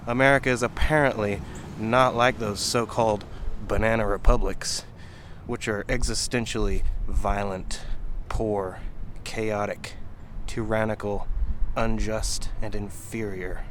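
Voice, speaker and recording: A man reads an essay aloud in measured, deliberate phrases with pauses. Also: on the low side, a bit bright, slight background noise. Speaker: reader at a table reading.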